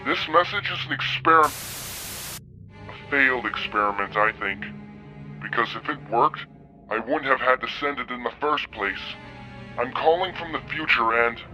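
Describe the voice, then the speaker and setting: A man acting an anxious robot sending a desperate, halting warning message in an animated sci-fi story. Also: medium pitch, faint music behind. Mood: anxious, urgent.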